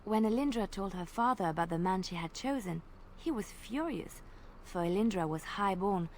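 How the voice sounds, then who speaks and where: A woman voicing a gentle young woman bard in a video game, telling old tales in a soft, measured and earnest way over faint game ambience. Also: mid-range voice, animated, slight background noise, lightly acted. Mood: storytelling. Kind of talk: storytelling in video game dialogue.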